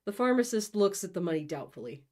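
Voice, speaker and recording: A woman's voice: mid-range voice, sing-song, quick pace, darkish tone. A woman host reading a comic second-person story aloud as narrator.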